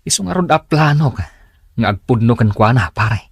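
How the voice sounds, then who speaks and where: Man doing shy lovesick dude, really husky, lively pitch, radio drama. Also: a little fast, dry recording, clean studio sound. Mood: bashful, hopeful. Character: bashful lovestruck man confiding in his buddy.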